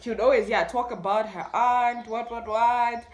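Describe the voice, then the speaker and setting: High-voiced young Malawian woman in an echoey room. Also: normal speed. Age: young adult. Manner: animated retelling, acting out dialogue. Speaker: YouTube storyteller. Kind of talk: casual storytime chat.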